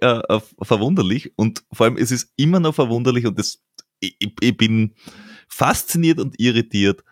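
A man's voice: mid-range voice, dry recording, clean studio sound. A man hosting a podcast, chatting casually with many stammered restarts and laughs. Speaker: podcast host. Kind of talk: casual interview chat.

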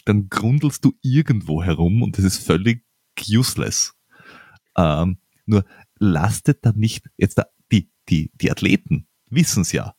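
Deep, husky Austrian man. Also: a little mellow, dry recording, clean studio sound. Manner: quick, emphatic, repeats words when starting. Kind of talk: casual conversation.

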